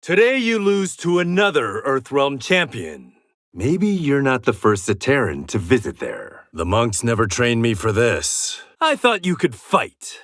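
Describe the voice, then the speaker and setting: A man's voice: medium pitch, big pitch swings, measured pace, a bit bright, no room sound, clean studio sound. An actor playing a proud, stern blind swordsman in a fighting game, with clipped, taunting and wry delivery. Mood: wry, guarded. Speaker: video game fighter character. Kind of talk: dramatic fighting game voice lines.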